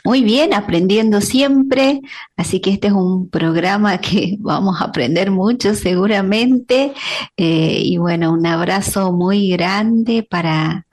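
A woman panelist greeting the listeners of a radio show in an unhurried way. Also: medium pitch, a little mellow.

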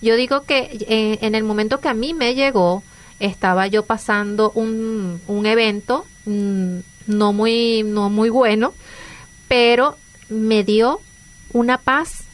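A woman's voice: a little high, steady tone, slow pace. Venezuelan woman hosting a chat. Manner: chatty, earnest, with many restarts and fillers. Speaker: radio host. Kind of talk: conversational interview.

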